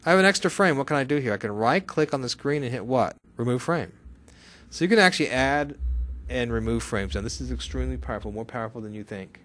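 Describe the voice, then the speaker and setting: A man giving a live software tutorial to a class, chatty, often asking questions and answering them himself. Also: mid-range voice, expressive, fast talker, a little hiss or hum. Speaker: software instructor.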